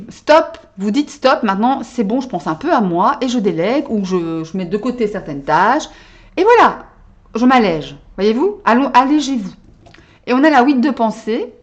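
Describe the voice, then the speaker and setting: A woman's voice: fairly high pitch, muffled recording. A woman giving a casual tarot reading to her viewers, chatty and full of little checks like "hein" and "d'accord?". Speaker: tarot card reader. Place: Belgium.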